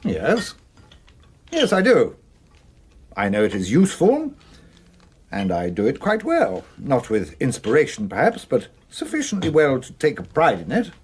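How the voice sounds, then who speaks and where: English police detective, very husky, highly animated pitch, earnest, blunt, dutiful, radio drama. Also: male voice, measured pace, long pauses, exaggerated voice. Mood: modest, frank. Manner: plain-spoken, morally firm.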